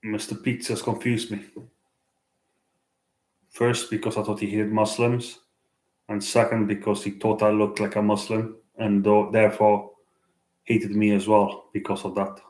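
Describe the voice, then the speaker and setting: A man livestreaming at night, chatting in a hesitant, halting way with many restarts and fillers. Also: lowish voice, flat delivery, darkish tone, echoey room. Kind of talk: late-night street livestream chat. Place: out at night in a city.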